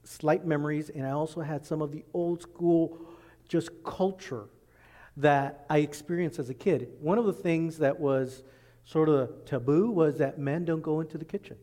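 A man preaching a sermon as pastor, working through scripture and acting out quoted speech in lively voices. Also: a little high, moderate pace. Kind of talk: expository sermon. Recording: long reverb in a large hall.

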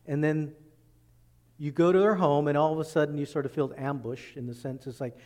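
A man preaching a sermon as pastor, working through scripture and acting out quoted speech in lively voices. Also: a little high, fairly quick. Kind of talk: expository sermon. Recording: long reverb in a large hall.

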